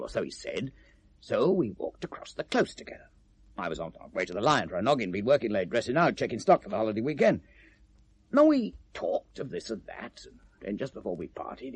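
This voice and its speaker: An actor playing an evasive, self-justifying British gift-shop owner in 1970s radio drama, talking in a hesitant, defensive, chatty way. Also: male voice, fairly low pitch, vocal fry, husky, exaggerated voice. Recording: vintage radio drama recording.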